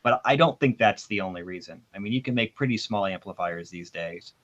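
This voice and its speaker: A man with a fairly low voice speaks as an expert in an informal technical discussion. He explains things in an opinionated way. The recording is somewhat dull and lacks top end. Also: a little fast, a bit bright. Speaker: audio expert panelist. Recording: dull, band-limited sound.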